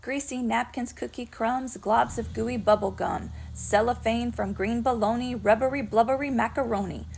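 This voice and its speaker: A woman teacher doing a children's read-aloud of rhyming poems in an expressive storytelling manner. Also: fairly high pitch, normal speed, creaky, few pauses, slight background noise.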